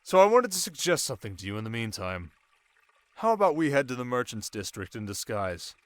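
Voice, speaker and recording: A young desert king, acted out by a man in an intimate ASMR roleplay, with a medium-pitched voice. He is shrewd and protective, teasing in a measured way while keeping a quiet air of command. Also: sing-song, dry recording, natural voice. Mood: teasing, soft.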